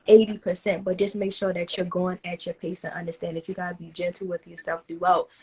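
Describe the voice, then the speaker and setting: A woman fitness coach hosting a casual live stream, talking in a relaxed, rambling way full of fillers. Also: on the low side, quick pace, slightly rough. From not from the Caribbean. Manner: relaxed, loose and chatty, full of "you know" fillers.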